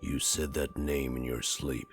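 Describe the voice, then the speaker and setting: A man's voice: a bass voice, little pitch movement, a little slow, soft background music. A man playing an ancient, many-voiced spirit in a possessed body for a visual novel game, speaking formally and cryptically of itself as we. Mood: calm, commanding.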